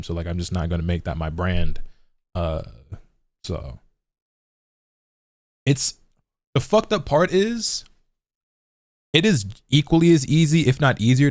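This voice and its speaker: Man, low voice, quick talker, rambling casual stream chatter, laid-back, swears. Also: darkish tone, no echo. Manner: rambling, heavy use of "like" and repeated words. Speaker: gaming streamer.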